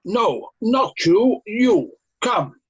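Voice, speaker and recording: A man's voice: sits high, sing-song, very slow, breathy edge, dull audio, full character voice. A man playing a brusque, commanding German army officer in an amateur screenplay read-through. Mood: barking orders.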